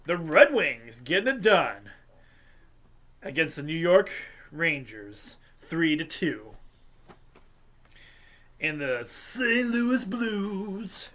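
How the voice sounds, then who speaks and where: A congested host, casually reading a sports scores recap with lots of ums and uhs and small jokes. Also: male voice, fairly high pitch, fairly bright tone. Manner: halting, filler-heavy delivery with light jokes. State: congested, sinusy.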